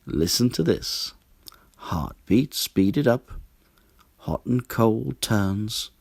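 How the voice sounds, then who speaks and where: Man voicing learned hare, solemn, knowing, deliberate, slow pace, storybook character. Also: on the low side, expressive, vocal fry, no room sound, exaggerated voice. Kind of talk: children's storybook character reading.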